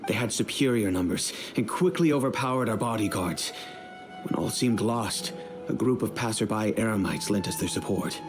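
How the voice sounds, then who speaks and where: An actor playing a grave middle-aged father and head of a noble family, speaking in a measured, solemn, storytelling way over game music. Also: fairly low pitch, close to an everyday voice.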